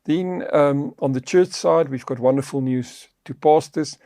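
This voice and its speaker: Gravelly, mellow man. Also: a little high, unhurried, dry recording, studio quality. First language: Afrikaans. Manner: earnest, preacherly, teaching tone. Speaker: podcast co-host.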